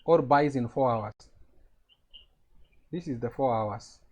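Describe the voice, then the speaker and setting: A man's voice: mid-range voice, slow pace, a little mellow, dull audio. A man with a Kenyan accent teaching a live trading lesson over a video call, explaining step by step and checking that his students follow.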